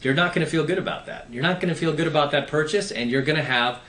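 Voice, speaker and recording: A man's voice: on the high side, fast talker, fairly bright tone, reverberant. A man lecturing on dating in an opinionated advice monologue, sarcastic and swearing now and then. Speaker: dating commentator.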